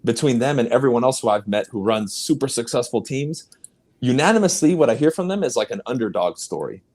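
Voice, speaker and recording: A man's voice: expressive, fairly quick, a little mellow. Emphatic man with punchy delivery. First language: Spanish. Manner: emphatic, punchy, sweeping pitch jumps. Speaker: guest real estate coach. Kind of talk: motivational podcast interview.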